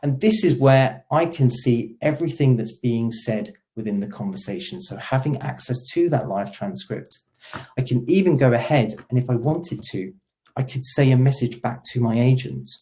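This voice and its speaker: Male product demo presenter, soft dark tone, conversational step-by-step explaining. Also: medium pitch, moderate pace. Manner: explanatory, role-plays agent dialogue. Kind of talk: software demo walkthrough, conversational.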